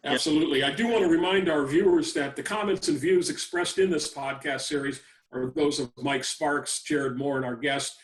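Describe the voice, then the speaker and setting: An American man hosts an interview podcast. His voice is on the higher side and he speaks conversationally. He sounds a bit far from the microphone. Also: moderate pace. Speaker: podcast host.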